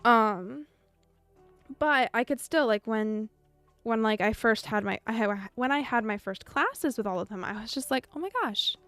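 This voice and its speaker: A woman's voice: a little high, normal speed, a bit bright, no echo, soft background music. A young American woman chatting casually with viewers. She speaks in a meandering, thoughtful way and laughs often. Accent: General American. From United States. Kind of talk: casual chat.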